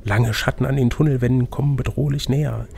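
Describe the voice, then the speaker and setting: A man's voice: medium pitch, fairly even intonation, quick pace, creaky, darkish tone, slight background noise. A man narrating an adventure gamebook as game master, switching between scripted reading and casual chat.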